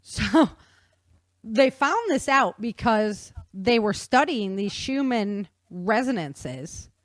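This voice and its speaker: A woman explaining things in an animated, conversational way with casual slang. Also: mid-range voice, dry recording. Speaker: guest author explaining research.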